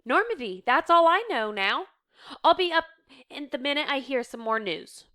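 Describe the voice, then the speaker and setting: A woman voicing an excitable young woman in a stage drama, exclaiming urgently as she delivers good news. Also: high-pitched, normal speed, voice pushed up, full character voice. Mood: excited, informative.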